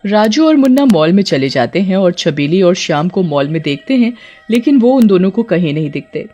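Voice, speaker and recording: A woman's voice: on the low side, very animated, a little fast, dark tone, highs cut off, faint music behind. A woman narrating a scripted cartoon horror story.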